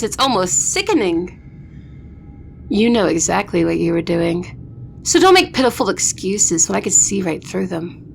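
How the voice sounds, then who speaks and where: A woman's voice: a little high, vocal fry, slight background noise, full character voice. A woman playing an arrogant, ruthless vampire princess in an audio roleplay, speaking in a haughty, threatening way. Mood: scornful anger. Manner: haughty, threatening, slow deliberate delivery. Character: arrogant vampire princess, ruthless eldest sister, war-hungry.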